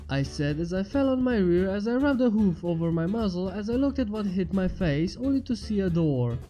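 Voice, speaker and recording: A man narrates a story in the first person in a high, soft-toned voice. He reads from a script in a roomy, echoing space, with music underneath. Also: expressive, normal speed, lo-fi sound. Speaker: fan fiction narrator. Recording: roomy echo, reverb, music behind. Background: background music.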